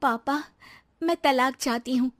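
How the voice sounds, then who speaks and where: Woman acting an earnest, very high-voiced young wife. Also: a little slow. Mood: resolute. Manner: polite, earnest protesting. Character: earnest, dutiful young daughter-in-law with quiet resolve. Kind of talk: acted moral-story dialogue.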